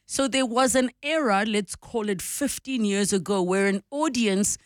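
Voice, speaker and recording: A woman's voice: medium pitch, measured pace, no echo. South African woman radio host, dry close sound. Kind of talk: conversational advice and interviewing.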